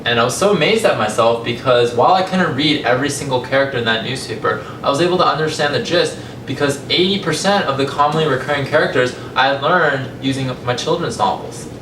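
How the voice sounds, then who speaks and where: A young American man speaks to listeners as a storyteller, conversational and measured, pausing deliberately between phrases. His medium-pitched voice comes from some distance in a reverberant space, over loud rumbling noise. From California, United States. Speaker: language company founder giving a talk. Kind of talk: public talk, personal storytelling. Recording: echoey, distant mic, rumbling noisy room.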